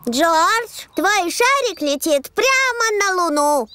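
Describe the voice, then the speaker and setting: A very high, childlike cartoon piglet girl, chatty and excitable. Her delivery swings up and down at an unhurried pace, with music playing behind. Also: a little hiss or hum, full character voice. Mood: excitable, earnest. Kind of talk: cartoon dub acting.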